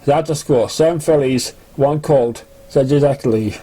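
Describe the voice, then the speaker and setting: A man reading a story aloud in an amateur way, with a halting, stumbling delivery. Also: mid-range voice, warm tone, low-quality recording. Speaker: fan fiction reader. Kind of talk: amateur story reading with put-on character voices.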